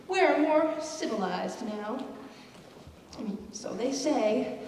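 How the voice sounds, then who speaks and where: A woman playing a wry, unhurried commentator. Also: a little high, expressive, darkish tone, a little hiss or hum. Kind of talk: stage play acting. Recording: echoey hall from far off.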